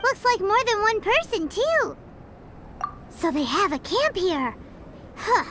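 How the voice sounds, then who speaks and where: A woman voicing a tiny, excitable fairy companion in a video game, with a squeaky childlike voice and a chatty, cheeky manner. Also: slow pace, very noisy, exaggerated voice. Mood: cheeky, scornful. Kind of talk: video game character dialogue. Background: music.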